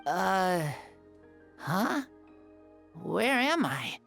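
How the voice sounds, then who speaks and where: Slow, dazed young villager. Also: female voice, mid-range voice, big pitch swings, creaky, fairly bright tone, soft background music, exaggerated voice. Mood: dazed, confused. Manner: hesitant, wondering. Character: dazed young villager, innocent and wondering, just awakened. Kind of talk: anime game voice acting.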